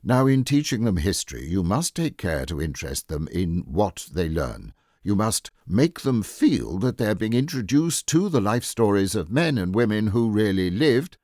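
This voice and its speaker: A man's voice: lowish voice, big pitch swings, normal speed, darkish tone, no room sound. Guy reading as a self-important edwardian husband, sententious, storybook narration, close dry recording. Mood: sagely instructing. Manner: sententious, pompous. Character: sage, self-important Edwardian husband echoing his wife.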